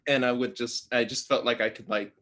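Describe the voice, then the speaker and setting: A man, an actor talking earnestly and haltingly in a casual video-call chat. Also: mid-range voice, fairly even intonation, a bit bright. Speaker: actor in a cast Q and A.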